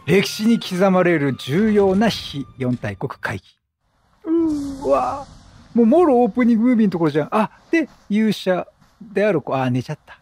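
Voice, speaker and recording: A man giving chatty, casual, reactive commentary on a video game, often repeating words in quick bursts. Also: sits high, very animated, a little mellow, slightly rough. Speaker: video game let's-play host. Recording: game music under the voice. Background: video game music and dialogue.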